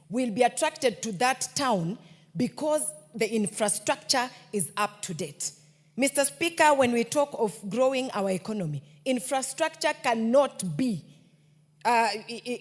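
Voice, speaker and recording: A Kenyan woman speaks in parliament at a very slow, deliberate pace, her voice a touch high. She keeps addressing the Speaker in measured phrases. A large chamber adds long reverb. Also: animated. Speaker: member of parliament. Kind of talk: parliamentary speech.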